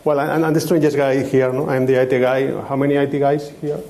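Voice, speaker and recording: A man's voice: medium pitch, flat delivery, a little fast, mellow, a little hiss or hum. A man speaking on a conference panel as an IT director, joking and adding "no?" to his points. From Spain. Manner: joking, repeats words, tag "no?".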